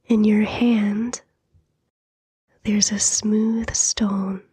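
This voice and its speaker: A woman softly narrating a scripted sleep meditation in a hushed, breathy whisper, soothing and unhurried, with long pauses. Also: mid-range voice, little pitch movement, no room sound.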